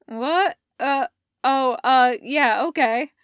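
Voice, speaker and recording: Lady doing a sleepy chill gamer dude for an audio story, casual, unsure, higher pitched, slow. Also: fairly bright tone, clear, resonant tone, no room sound, studio quality. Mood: bleary, startled. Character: bleary, laid-back young man, a sleepy gamer.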